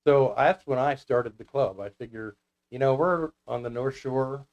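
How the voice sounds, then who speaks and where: A man's voice: mid-range voice, a little slow, a little mellow, dull audio. An American man chatting casually as an interview guest, telling stories in an anecdotal, conversational way. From United States. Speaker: guest, CB radio club founder.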